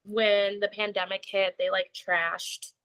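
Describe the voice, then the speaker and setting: A young woman from the United States talks in a relaxed, rambling way, dropping "like" into nearly every phrase. Her voice has a bright, crisp edge. She sounds like she's speaking into a laptop on a video call. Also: mid-range voice, takes it slowly, poor audio. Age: young adult. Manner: chatty, lots of "like" fillers, laughs while talking. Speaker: interview guest. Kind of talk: casual conversation.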